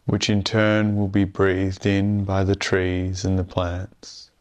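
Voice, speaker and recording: A man guides a meditation in a low, smooth voice. He speaks very slowly and soothingly, leaving long pauses between phrases. His delivery stays calm and very even in pitch throughout.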